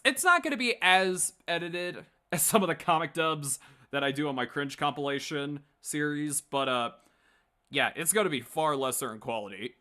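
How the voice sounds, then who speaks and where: An animated man hosting a casual livestream, talking in a bright, forward voice with plenty of swing in pitch. He rambles to his viewers in a joking, sweary way. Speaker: livestream host and comic dub creator.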